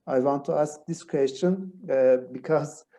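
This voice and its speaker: A man with a Turkish accent asks a question over a video call in a slow, halting way. He pauses a lot on "uh" as he searches for the right words. His voice is mellow and low-key. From Turkey. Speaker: webinar participant asking a question. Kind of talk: hesitant question in a second language.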